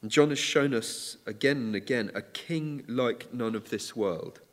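Guy preaching a sermon, earnest and steady, mid pitch, church echo trailing off. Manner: measured, earnest preaching with occasional restarts. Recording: church hall reverb.

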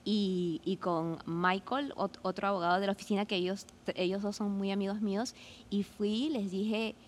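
A woman's voice: medium pitch, fairly even intonation, moderate pace, slight background noise. A woman in her forties, a law student, talking through her experience in a casual podcast conversation, thoughtful and full of fillers. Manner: reflective, many fillers like "este" and "¿no?".

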